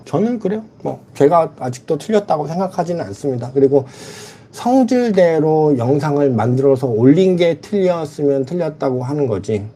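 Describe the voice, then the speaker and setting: A man's voice: fairly high pitch, lively intonation, normal speed, mellow, slight background noise. A middle-aged man hosting a casual livestream, answering viewer comments bluntly and frankly. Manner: blunt, reads chat comments aloud and replies frankly. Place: lives in the Philippines.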